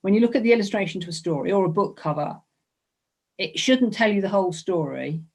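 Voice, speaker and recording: A woman with a mid-pitched voice speaks as an illustrator in a relaxed interview. She thinks aloud as she goes, hesitating and circling back over phrases in a conversational way. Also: brisk. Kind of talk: casual conversational interview about own work. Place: online video call.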